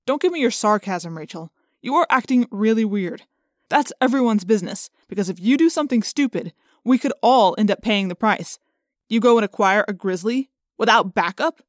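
A woman's voice: mid-range voice, expressive, normal speed, dry recording, clean studio sound, natural voice. A woman voicing a stern, responsible, weary teenage boy leader in a storybook, with a firm, stubborn manner. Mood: scolding.

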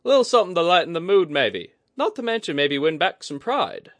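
Man voicing a brash, teasing woman fighter, raised pitch. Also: big pitch swings, a little fast, fairly bright tone. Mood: playful, needling. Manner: wry, needling, colloquial. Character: brash, teasing woman sea-clan leader with rough, folksy speech. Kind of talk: audiobook fantasy character acting.

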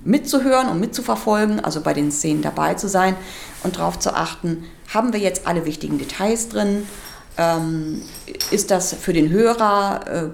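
A woman with a fairly low voice and noticeable creak gives unscripted interview answers. She is halting, searching for words as she explains her moderating job. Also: darkish tone. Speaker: workshop moderator and director.

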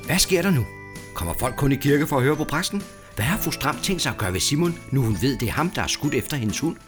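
A man's voice: medium pitch, animated, over music, natural voice. A man narrating a children's radio serial in a scripted storytelling style. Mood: teasing suspense.

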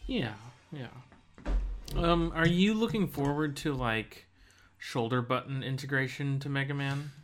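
A man's voice: mid-range voice, a little slow. A man co-hosting a podcast, talking casually and informally about video games. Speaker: podcast co-host.

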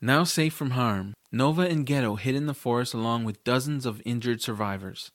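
A man's voice: medium pitch, moderate pace, lightly acted. A man playing an earnest, self-doubting boy hero who narrates a fantasy audio drama in the first person. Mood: reflective. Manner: earnest, youthful phrasing.

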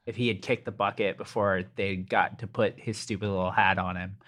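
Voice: low-pitched, steady tone, fairly quick, fairly bright tone. A co-host of a snack review show, chatting casually and rambling with sarcastic asides. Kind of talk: casual chat.

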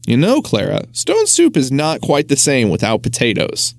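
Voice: mid-range voice, huge pitch swings, moderate pace, vocal fry, no room sound. Winston, a reader voicing a stern traveler. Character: traveling man, stern and practical.

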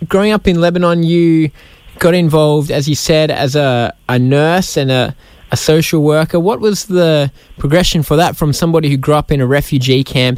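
A man's voice: a little high, darkish tone, smooth, dry recording. A male radio host asking questions in a friendly, slightly hesitant way in a studio interview. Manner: friendly, hesitant phrasing with fillers.

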